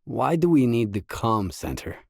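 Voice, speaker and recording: A man's voice: medium pitch, sing-song, a little slow, creaky, darkish tone, no echo, studio quality, heavily acted. A man voicing a wary, blunt male loner in a video game, speaking in terse, matter-of-fact lines and questions. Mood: guarded, businesslike.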